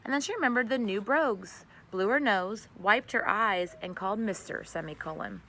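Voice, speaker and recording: A woman reading a children's story aloud as narrator, expressive and conversational. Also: mid-range voice, normal speed. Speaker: storybook reader.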